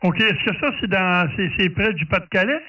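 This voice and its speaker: A man with a Quebec French accent in a casual, halting amateur radio exchange, often repeating his words. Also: high-pitched, lively intonation, heavy background noise. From Quebec, Canada. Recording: dull, distant amateur radio audio.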